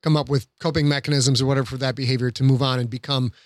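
A man's voice: mid-range voice, little pitch movement, racing, dry recording, studio quality. A middle-aged man in a casual podcast discussion, explaining things in an earnest, plain-spoken way.

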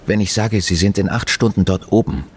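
An actor playing a calm, resigned man in mission control, speaking in a measured, matter-of-fact way in an audio drama. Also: on the low side, a little hiss or hum, natural voice. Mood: calm, grave. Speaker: actor in a fiction podcast. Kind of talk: naturalistic audio drama acting.

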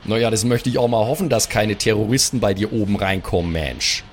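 A man's voice: fairly low pitch, fairly quick, a little hiss or hum, lightly acted. A man voicing a grumbling older male pirate who complains in a folksy way. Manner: grumbly, folksy, keeps saying "Mensch".

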